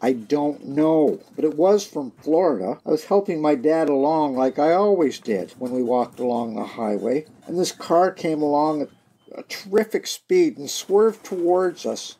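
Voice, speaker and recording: A man acting as an aggrieved son who hides his guilt in a radio mystery drama, with a husky voice. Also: a little high, expressive, measured pace, warm tone, exaggerated voice. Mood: guarded, recounting. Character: aggrieved son, a scheming killer feigning grief.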